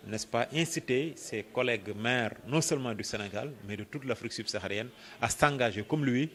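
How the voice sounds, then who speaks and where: A man with a Senegalese accent gives an explanatory interview answer as a project coordinator, phrasing things deliberately and often hesitating or repeating words. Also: medium pitch, a bit bright.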